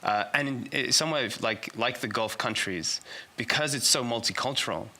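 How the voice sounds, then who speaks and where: A man who grew up in England and Saudi Arabia, a business strategist, answering interview questions haltingly with many restarts. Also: mid-range voice, sharp tone. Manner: halting, full of restarts and fillers.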